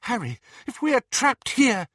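A man voicing an anxious young witch in an audiobook, urgent and squeaky with fright. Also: sing-song, normal speed, fairly bright tone, dry recording, clean studio sound, heavily acted. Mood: panicked.

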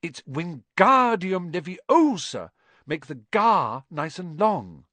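Man voicing a know-it-all schoolgirl, sharp, bossy, lively pitch, dry recording. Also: takes it slowly, dry recording, exaggerated voice. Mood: snappy.

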